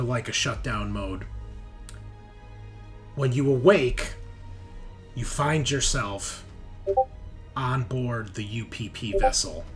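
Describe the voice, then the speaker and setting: Male tabletop game master, sharp-edged medium voice, measured dramatic delivery with pauses, music underneath. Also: medium pitch. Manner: deliberate short phrases with suspenseful pauses. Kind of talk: dramatic improvised narration with table talk. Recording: music behind the voice. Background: background music.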